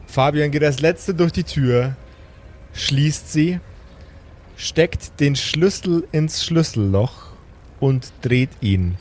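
A man acting as game master, narrating an improvised tabletop game in a measured, deliberate way. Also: a little high, darkish tone. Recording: faint background noise.

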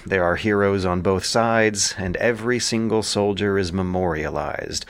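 A man with a fairly low voice delivers scripted podcast commentary. His phrasing is measured and literary, with a dramatic storyteller's turn to it. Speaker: podcast host and narrator. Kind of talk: scripted commentary on ancient epic and history.

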